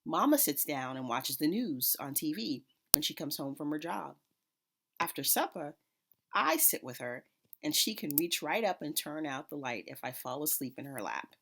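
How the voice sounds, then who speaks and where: Low-pitched female narrator, expressive read-aloud of a picture book for young children. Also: moderate pace, slight background noise. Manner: expressive, acts out characters' dialogue. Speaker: school principal reading a picture book aloud.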